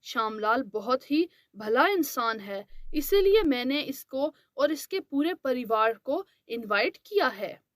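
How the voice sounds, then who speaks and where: A woman's voice: sits high, big pitch swings, takes it slowly, hardly any pauses, dry recording, clean studio sound, pitched below the performer's own voice, exaggerated voice. A woman voicing a kindly, mild rich employer and father in a cartoon story.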